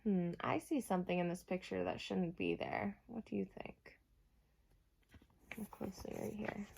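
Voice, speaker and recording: A woman teacher reading a storybook aloud to young children, pausing to explain simply and ask them questions. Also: medium pitch, gravelly, a little mellow, slight background noise. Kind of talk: children's storybook read-aloud with asides to listeners.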